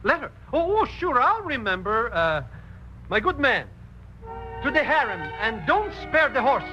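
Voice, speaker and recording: An excitable, wisecracking sidekick from New York in an old radio adventure. His voice is high and very sharp, swooping around in jittery exclamations, with faint music behind. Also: a little slow, muffled recording, exaggerated voice. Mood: flustered, eager. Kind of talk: 1940s radio acting.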